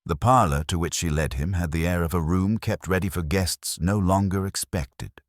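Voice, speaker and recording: Male narrator for literary mystery, very deep, expressive range, dry studio sound, measured and wry. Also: no room sound. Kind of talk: scripted audiobook-style narration of a mystery story.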